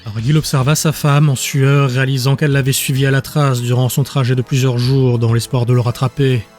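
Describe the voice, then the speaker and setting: A man's voice: medium pitch, flat delivery, a little fast, darkish tone, soft background music. A man reading scripted dramatic fantasy narration as an audiobook narrator.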